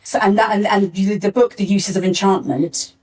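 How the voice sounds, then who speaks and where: A woman talking informally on a discussion panel, in halting phrases with repeated words. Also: mid-range voice, a little mellow, husky. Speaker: panel speaker, pantomime scriptwriter. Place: arts centre venue, live panel. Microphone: distant backup recorder. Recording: distant mic backup live recording.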